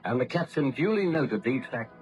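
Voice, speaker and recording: A man narrating a scripted 1930s radio drama in a formal, measured storytelling manner. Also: medium pitch, expressive, a little mellow, soft background music.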